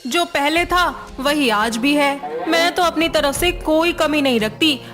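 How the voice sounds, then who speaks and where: A woman's voice: high-pitched, slow pace, music in the background. A woman voicing a defensive young wife in a dramatised story, aggrieved and protesting. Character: put-upon, defensive young Indian wife. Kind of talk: dramatised Hindi moral story acting.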